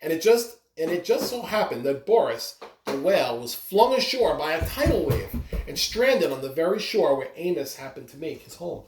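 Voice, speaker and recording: A reader giving an expressive read-aloud of a children's story. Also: mid-range voice, moderate pace. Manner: expressive, with brief asides to listeners. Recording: roomy echo, clear background noise.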